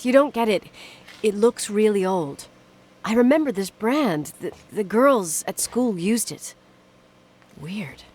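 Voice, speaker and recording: A woman's voice: medium pitch, lively intonation, takes it slowly, a little mellow, slightly rough. An actress playing a stubborn, inquisitive young woman in naturalistic game dialogue, suspicious and uneasy, probing earnestly with some hesitation. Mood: puzzled, insistent.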